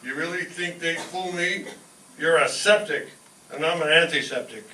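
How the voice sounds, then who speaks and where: An actor playing a blundering, excitable, scheming working-class family man in a 1940s-style radio sitcom. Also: fairly high pitch, moderate pace. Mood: cocky. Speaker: amateur performer in a staged radio show. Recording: echoey room, distant mic, faint noise.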